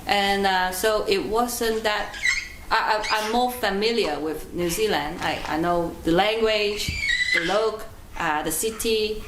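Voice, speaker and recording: A woman from Hong Kong with a Hong Kong accent, a native Cantonese speaker giving a measured interview. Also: mid-range voice, a little hiss or hum. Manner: measured, stammering restarts. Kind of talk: documentary interview. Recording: roomy echo.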